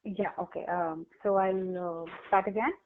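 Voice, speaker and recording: A woman role-playing a doctor in a practice exam, explaining advice haltingly with many fillers. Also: lowish voice, fairly even intonation, a little hiss or hum. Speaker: doctor candidate in a role-play. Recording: tinny phone line.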